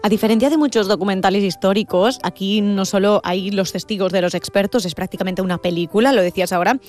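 A woman interviewer asks questions in a mid-pitched voice. She speaks in a measured way, with a few fillers. Faint music plays behind her. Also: darkish tone.

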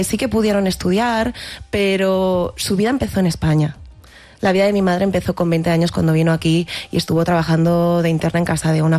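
Brief: woman, 30s, from Catalonia, actress guest, measured and reflective, pauses and restarts. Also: lowish voice, dark tone. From Catalonia, Spain. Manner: thoughtful, many restarts and fillers. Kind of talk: reflective interview talk.

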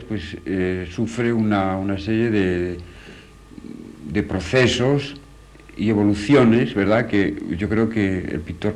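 A man with a very low voice reflects off the cuff as a painter being interviewed. He is hesitant and keeps searching for his words. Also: darkish tone. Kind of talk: unscripted reflection on own work.

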